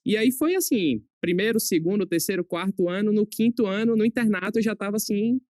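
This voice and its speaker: A young adult man from northeastern Brazil, a surgery resident speaking as a guest in a casual podcast chat, relaxed and quick to laugh. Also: sits high, dry recording, clean studio sound. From Brazil, born in Salvador, raised in Aracaju. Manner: relaxed, chatty, laughs easily.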